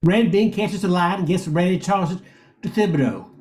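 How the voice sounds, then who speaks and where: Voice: medium pitch, a little fast, a little mellow. An older amateur narrator reading a scripted radio play, occasionally stumbling and repeating words.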